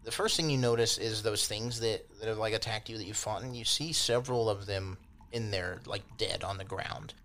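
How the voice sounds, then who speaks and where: A man with a fairly low, bright voice narrates a tabletop game as game master. He describes things loosely and conversationally, adding little asides as he goes. There is faint noise behind him. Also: moderate pace, hardly any pauses.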